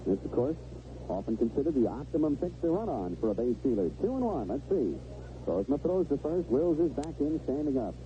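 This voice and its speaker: A man's voice: fairly high pitch, very animated, a little fast. A man calling baseball play-by-play live on the radio in clipped phrases, describing each play as it happens. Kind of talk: live radio sports commentary. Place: stadium radio booth. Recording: noisy dull 1970s AM radio broadcast.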